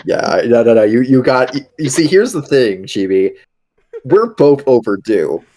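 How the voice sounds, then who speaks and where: Male tabletop player, animated mid-range voice, casual stop-start description. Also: mid-range voice. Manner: halting, informal. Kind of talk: casual character description and chat.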